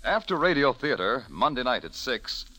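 A man's voice: medium pitch, sing-song, moderate pace, sharp tone, rough recording. A man announcing in scripted 1940s network radio style. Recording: old radio broadcast with music behind. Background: music.

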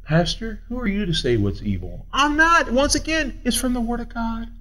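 Pastor, 40s, male: high, swooping delivery, paces the pulpit, argues with imagined doubters. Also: moderate pace. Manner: animated, swooping pitch, paces while preaching; answers his own imagined questions. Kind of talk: sermon.

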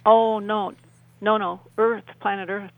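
A middle-aged woman explaining earnestly as a radio interview guest, halting and repeating phrases for emphasis. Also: medium pitch, little pitch movement, dry recording, narrow, tinny audio.

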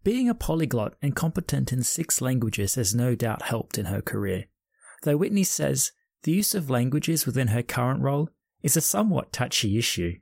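This is a male podcast host with a gravelly, fry-tinged voice, recorded close and dry at home. He reads his introduction in an upbeat, measured way and then moves into relaxed conversation. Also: mid-range voice, no room sound. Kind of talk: scripted intro then casual interview. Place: home during lockdown.

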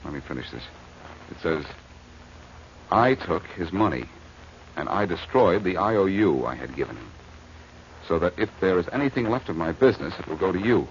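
Low-voiced, wisecracking American radio detective. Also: male voice, big pitch swings, normal speed, pauses often. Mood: urgent. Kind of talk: 1940s radio detective acting. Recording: muffled, noisy old radio recording.

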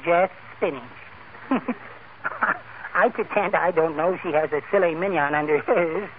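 A man's voice: on the high side, unhurried, narrow, tinny audio, lightly acted. An actor playing a meek, timid, henpecked little man with a mild, mincing manner in 1940s radio comedy style. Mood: meek, plaintive. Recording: old thin radio recording, heavy noise.

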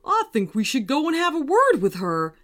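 A woman voicing a big, gentle, hungry female elephant in a children's story. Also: high-pitched, huge pitch swings, moderate pace, soft background music, voice pushed up, exaggerated voice. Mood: resolute.

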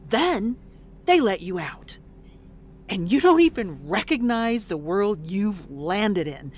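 A woman's voice: mid-range voice, sing-song, takes it slowly, darkish tone. An actress playing a blunt, weary middle-aged woman fresh out of prison in an audio drama, swearing in exasperation. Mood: fierce, weary.

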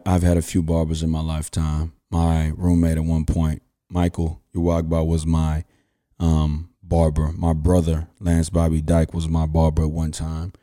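A man's voice: low-pitched, mellow. A man, hosting a podcast and telling a story casually with slang and fillers. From Houston, Texas. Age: 30s. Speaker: podcast host.

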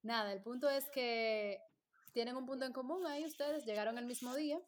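A woman hosting a casual podcast chat, bubbly and quick to laugh and joke. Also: fairly high pitch. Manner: bubbly, laughs often, jokes. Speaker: podcast host.

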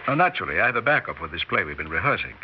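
An actor playing a smooth-talking, flattering theatrical con man, grandiose and persuasive, in a 1960s radio drama. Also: sits low, racing. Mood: regretful, hesitant. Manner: grandiose, persuasive, falsely reluctant. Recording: faintly noisy, dull 1960s radio recording.

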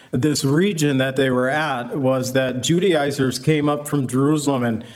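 A male pastor walks through scripture verse by verse. His voice sits at a middling pitch, and he preaches conversationally, slipping into quoted voices for the people in his story. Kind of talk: verse-by-verse Bible teaching.

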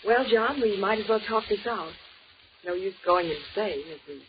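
An actress in a 1940s radio drama playing a composed, wounded wife who speaks in a measured, formal and coolly polite way. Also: female voice, mid-range voice, expressive, close to an everyday voice. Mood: composed, resigned. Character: composed, coolly wounded wife questioning her husband and rival. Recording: muffled, noisy 1940s radio recording.

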